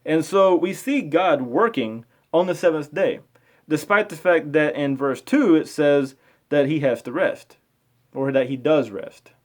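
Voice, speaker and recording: Guy with a slightly high voice doing casual commentary, stop-start, repeats himself, kinda argumentative. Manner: halting, repetitive, argumentative. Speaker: video host. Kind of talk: informal spoken commentary.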